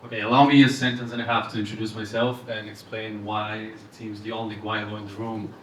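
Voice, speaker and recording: A man's voice: fairly low pitch, flat delivery, brisk. A young man with an Eastern European accent presents a product at an event. His delivery stays level, with little melodic movement, and the sound is distant and echoey with light background noise. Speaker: fintech presenter. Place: indoor event room, speaking to audience. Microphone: handheld microphone heard from far off.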